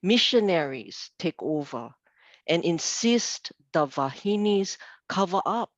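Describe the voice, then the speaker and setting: A woman from Hawaii with a Hawaiian accent introducing and then reading her poem, unhurried, with hesitant fillers over a video call. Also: lowish voice, expressive, no echo. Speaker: open mic poet. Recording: dull, dry video call audio.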